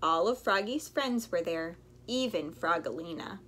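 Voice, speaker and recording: A woman's voice: on the low side, a little slow. A young adult woman reading a children's storybook aloud expressively, acting out sound effects. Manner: animated, with acted sound effects. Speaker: story time reader. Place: bedroom, indoors.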